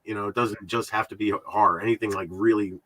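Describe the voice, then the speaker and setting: Bright-voiced man, casual podcast host. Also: on the low side, fairly even intonation. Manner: rambling, halting, repeats words. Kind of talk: casual conversational podcast talk.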